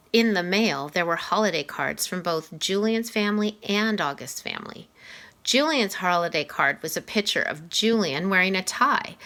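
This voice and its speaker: A woman reading a children's novel aloud as an expressive storyteller. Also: mid-range voice. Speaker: reader.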